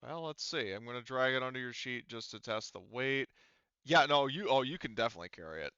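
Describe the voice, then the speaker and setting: A man running a tabletop game as game master, explaining rules casually in a halting, rambling way with self-corrections. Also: mid-range voice, expressive, bright, forceful tone, clear, resonant tone, no room sound, muffled recording.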